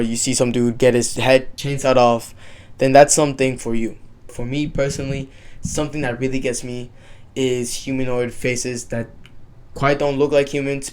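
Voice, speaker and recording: Casual, halting man talking off the cuff. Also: mid-range voice, darkish tone. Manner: halting, informal, profane. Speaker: YouTuber. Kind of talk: casual unscripted commentary.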